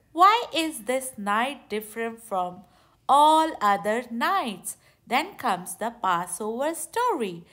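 A woman narrating a children's picture book aloud in a deliberate, expressive way. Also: fairly high pitch. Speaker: children's storybook reader.

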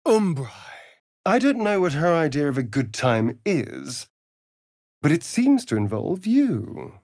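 A man's voice: on the high side, very animated, slow, darkish tone, dry recording, studio quality, full character voice. A man with an English accent playing a vain, sardonic aristocratic vampire rogue in a video game, theatrical and arch. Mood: incanting.

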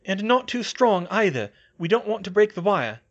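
An anxious, bossy young witch, as a male narrator puts her on, pitched well up and pushed loud. She speaks with urgent emphasis in an audiobook style, and the recording is a little dull. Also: big pitch swings, normal speed. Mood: commanding. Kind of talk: audiobook character voice.

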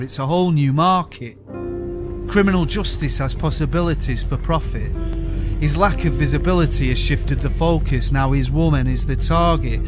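A man with a Yorkshire accent performing spoken word poetry in a rhythmic, weary, detached voice, recorded as a voice-over. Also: fairly high pitch. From South Yorkshire, England. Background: music bed.

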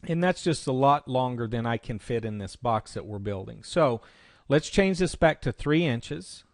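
A man presenting a software tutorial, explaining step by step in instructional screencast narration. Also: mid-range voice, expressive, normal speed, no echo, studio quality.

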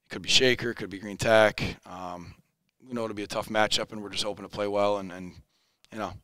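A man in his forties, a high school basketball head coach, talking in a post-game interview in an upbeat, plain-spoken way. He often repeats words as he restarts a thought. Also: steady tone, a little fast, bright, forceful tone, dry recording. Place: indoor studio set in front of a backdrop. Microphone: headset mic.

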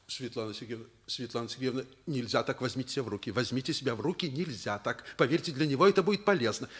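An actor playing a stern, principled school principal in a Soviet radio play, with an emphatic, commanding delivery. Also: male voice, mid-range voice, fast, fairly bright tone, slightly rough. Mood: firm. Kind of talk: mid-century Soviet radio play acting.

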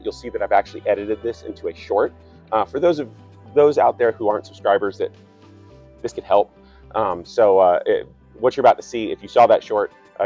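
Man explaining plant sampling steps. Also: fairly low pitch. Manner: relaxed, lots of ums and restarts. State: slightly raspy from a cold. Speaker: wastewater plant operator. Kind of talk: instructional demonstration. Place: outdoors by trees.

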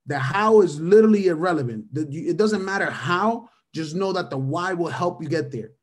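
A man's voice: fairly high pitch, normal speed. A man in his thirties, a native Spanish speaker, mentors his audience over a video call in a slightly raised voice. He repeats his main points and punctuates nearly every thought with a questioning "right?". The sound is webcam-call audio from a small room. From Venezuela. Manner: repeats key phrases for emphasis. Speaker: mentor presenting a webinar. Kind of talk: motivational webinar talk. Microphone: webcam or headset on a video call.

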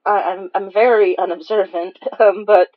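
A woman's voice: fairly even intonation, normal speed, lo-fi sound, lightly acted. A woman playing a flustered, stammering, easily startled young woman in an audio drama. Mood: flustered, awkward. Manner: stammering, hesitant, swoops in pitch. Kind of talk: naturalistic audio drama dialogue.

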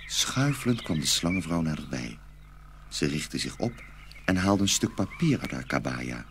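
A man tells a dark fairy tale as a dramatic narrator. His voice is extremely low, with a heavy creaky grain. Animal-like ambient noise is clearly audible behind him. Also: sing-song, normal speed.

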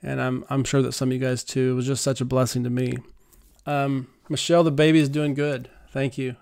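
A middle-aged man hosting a prayer livestream from his living room, speaking softly and earnestly into a studio mic, halting with many fillers. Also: medium pitch, little pitch movement, creaky. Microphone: large studio mic on arm.

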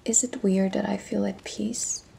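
A woman playing a weary, tender pirate captain in an intimate audio roleplay, speaking in a hushed, emotional and breathy way. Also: mid-range voice, flat delivery, slight background noise. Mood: reflective. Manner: hushed, slow, emotional delivery. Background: faint music.

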